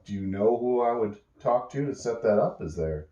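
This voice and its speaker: A man's voice: mid-range voice, animated, moderate pace, mellow, dull audio, voice pushed up, natural voice. A man voicing a cautious, evasive schemer in a bedtime story, hesitant and roundabout, hinting at what is wanted. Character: evasive, cautious male schemer probing for information. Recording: roomy, echoey home recording.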